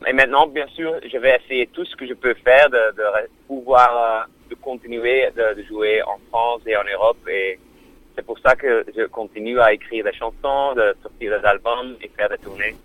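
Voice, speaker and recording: A man speaking French as an in a radio interview, hesitant and full of fillers and restarts. His voice sits at a middle pitch. The sound is dry and close. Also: normal speed, no room sound, phone-quality sound. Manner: non-native French. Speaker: musician guest.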